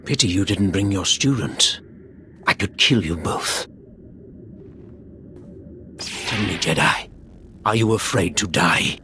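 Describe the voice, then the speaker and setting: An actor playing a cold, taunting dark lord in a video game, speaking with deliberate menace. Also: male voice, low voice, soft background music, heavily acted. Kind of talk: video game villain acting.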